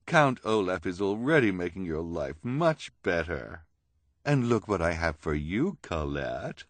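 Audiobook villainess, played by a man: haughty, persuasive schemer, animated pitch, close dry sound. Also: measured pace, dry recording, exaggerated voice. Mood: sly generosity. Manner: persuasive, emphatic, condescending.

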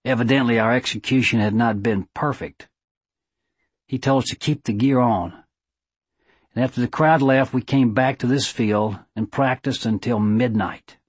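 A man voicing an aging, earnest small-town judge with a squeaky voice and a thick Southern drawl, giving an audiobook eulogy. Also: fairly even intonation, moderate pace, husky, dry recording, close to an everyday voice. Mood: proud, reminiscing. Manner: drawling, oratorical.

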